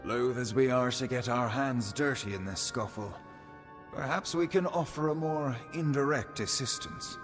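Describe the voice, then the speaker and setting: A man's voice: mid-range voice, moderate pace, lightly acted. Man as a pompous fae noble in a hall. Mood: haughty. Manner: flowery, condescending, formal. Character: pompous, haughty aristocratic fae spokesman. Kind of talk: fantasy video game acting. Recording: reverberant hall under loud music.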